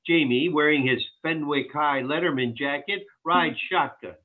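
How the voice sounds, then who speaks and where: Guy reading script directions, highish husky voice, tinny phone line sound. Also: normal speed. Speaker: stage-direction narrator. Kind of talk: reading script directions aloud at a table read.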